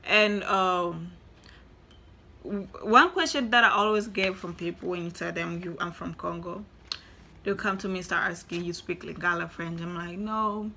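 A young woman talks straight to her camera in a small room. Her voice is bright and forward. She chats in an animated way and says things twice to drive them home. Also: fairly low pitch, normal speed, creaky. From Tanzania. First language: Swahili. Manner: chatty, animated, repeats herself for emphasis. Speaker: vlogger. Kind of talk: casual talk to camera.

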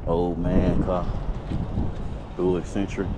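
Man, lowish voice, laid-back slangy car-lot vlogger, loud outdoor noise. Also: monotone, slow pace. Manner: laid-back, slangy, talks to viewers as "y'all". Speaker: auto broker. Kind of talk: casual walkaround vlog. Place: outdoor auto auction lot, in and around cars. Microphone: body-worn action camera.

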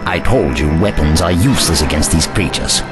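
Eccentric, irascible old gentleman played by a man, swooping pitch, pompous, over loud music. Sci-fi audio drama. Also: expressive, brisk. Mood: grave. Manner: pompous, old-fashioned, scolding. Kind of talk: amateur audio drama acting.

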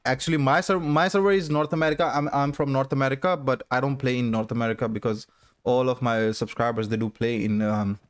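A man's voice: medium pitch, fairly even intonation, drawn-out words, clear, resonant tone. A man, live-streaming a mobile game from a small room and bantering with viewers in chat. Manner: switches between Urdu and English, bantering with chat. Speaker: gaming streamer. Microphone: headset.

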